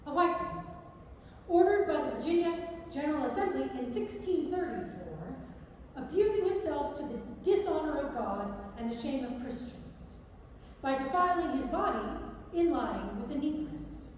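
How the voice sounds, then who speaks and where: A woman's voice: sits high, animated, moderate pace, darkish tone, slight background noise. A woman giving a staged reading of non-fiction prose, recorded from far off in an echoey theatre. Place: large indoor theatre.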